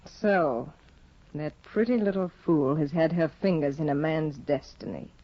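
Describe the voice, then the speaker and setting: A cold, controlling aristocratic woman in an old radio drama. She speaks in a cool, measured, quietly manipulative way. The sound is dry and dull, like a 1950 broadcast. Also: sits low, no room sound. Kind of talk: 1950s radio drama acting.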